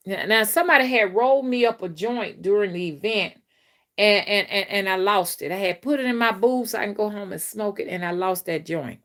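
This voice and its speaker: A woman's voice: mid-range voice, a little fast, fairly bright tone. A woman hosting a casual spiritual live stream on her phone, rambling and repeating herself as she talks straight to her viewers and reads their comments. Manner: rambling and repetitive, addresses viewers directly.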